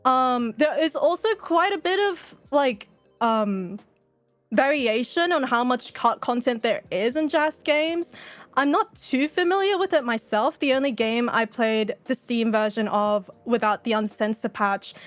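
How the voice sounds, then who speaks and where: Higher-pitched Australian woman presenting casually. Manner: informal, sweary, peppered with "like". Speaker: convention panel presenter. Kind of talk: casual fan talk with slides. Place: indoors, virtual convention presentation.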